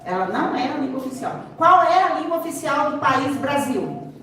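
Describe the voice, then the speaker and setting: A woman's voice: high voice, expressive, normal speed, a crowd in the background. A Brazilian woman giving an interactive lecture in Brazilian Portuguese, teaching emphatically with rhetorical questions and repeated key points. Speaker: seminar speaker, sign language teacher and interpreter. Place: large echoey room, far from the mic. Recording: echoey hall, distant microphone, clear background noise.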